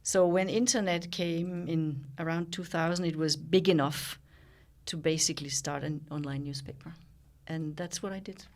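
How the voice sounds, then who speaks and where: Older Danish woman with a low-pitched voice, reflective interview guest, pauses and restarts. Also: fairly even intonation, darkish tone. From Denmark. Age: 60s. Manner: thoughtful, with frequent pauses and restarts. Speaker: guest, journalist and founder.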